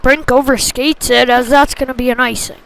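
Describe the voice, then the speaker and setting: A woman's voice: high voice, normal speed, vocal fry, a little hiss or hum, poor audio. A woman doing live play-by-play commentary of youth ice hockey in short clipped phrases. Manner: short clipped phrases, excited on big plays.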